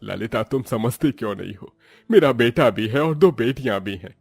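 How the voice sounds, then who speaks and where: A man's voice: medium pitch, expressive, normal speed, gravelly, a little mellow, breathy edge, no room sound, studio quality. Man acting a careworn, poor village farmer. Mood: worried, pleading. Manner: lamenting, earnest. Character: poor, kindly, careworn middle-aged farmer and father.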